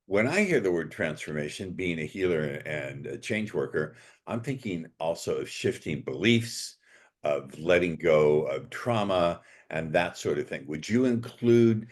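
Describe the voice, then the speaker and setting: A man hosting a podcast interview, playful and chatty, with quick joking asides. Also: deep voice. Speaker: podcast host.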